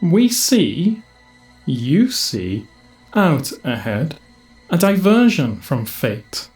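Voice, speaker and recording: Slow delivery, echoing, ancient otherworldly intelligence played by a performer, cryptic, grave, audio drama, music behind. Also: medium pitch, sing-song, a little mellow. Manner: slow, cryptic, portentous delivery in short broken phrases. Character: ancient cosmic planetary collective intelligence, cryptic and solemn. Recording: echoing voice effect over music.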